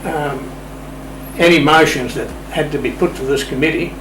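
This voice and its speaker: An older Australian man with a slightly high voice talks conversationally, dry and wry as an interview guest. He is picked up from a distance, with a clear hum in the background. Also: moderate pace. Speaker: interview guest, sailing official. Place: indoor room, radio shack. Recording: roomy, distant mic, steady hum.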